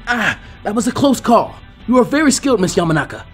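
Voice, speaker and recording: Man as young male ninja, earnest, spirited, stiffly formal, higher pitch, storybook style. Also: very animated, fast, soft background music. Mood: polite, resolute.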